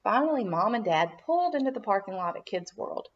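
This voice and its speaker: A woman reads a storybook aloud for young children in a mid-pitched voice. Her narration is animated and dramatic, with lots of melodic movement. The sound is a little dull and lo-fi. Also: fairly quick. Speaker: children's minister reading a storybook.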